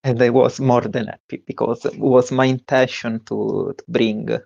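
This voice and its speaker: A young man with an Italian accent, a tournament winner giving a halting interview with many fillers and restarts. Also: medium pitch, flat delivery, vocal fry, darkish tone, no echo, muffled recording. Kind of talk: post-win stream interview.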